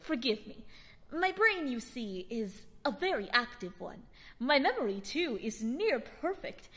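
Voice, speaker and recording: A woman's voice: sits high, big pitch swings, unhurried, bright, forceful tone, husky, in a large hall, heavily acted. A woman voicing a boastful, pompous young boy aristocrat in a radio drama, with a theatrical manner.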